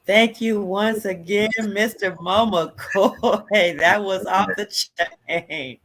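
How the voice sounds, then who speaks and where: A woman's voice: medium pitch, drawn-out words, fairly bright tone. An older woman hosting a live online talk show on a video call, upbeat as she thanks her guest and viewers. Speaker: host.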